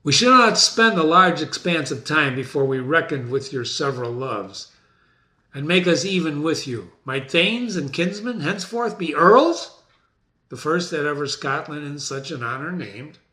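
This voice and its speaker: A man's voice: on the high side, very animated, moderate pace, sharp tone. A man playing a gracious, resolute new king in a formal, oratorical Shakespeare reading. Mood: stately resolve. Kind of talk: dramatic reading of a Shakespeare play. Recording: echoey room.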